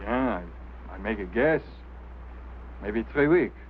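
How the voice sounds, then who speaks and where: An actor playing a stubborn, put-upon road crew foreman with a French Canadian accent and halting phrasing, in mid-century screen drama style. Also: fairly low pitch, lively intonation, phone-quality sound. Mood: wry, grumbling. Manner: halting, non-native phrasing. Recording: thin, noisy old film soundtrack.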